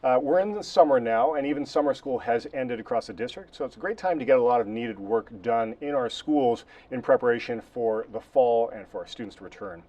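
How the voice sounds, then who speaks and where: A man's voice: mid-range voice, expressive, a little fast, hardly any pauses, darkish tone, lo-fi sound. A man with an American accent hosting a conversational interview. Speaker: host, interviewer.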